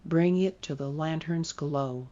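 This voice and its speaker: A meditation guide leading a guided sleep meditation in a soft, soothing way. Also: mid-range voice, lo-fi sound. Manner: slow, soft, soothing delivery.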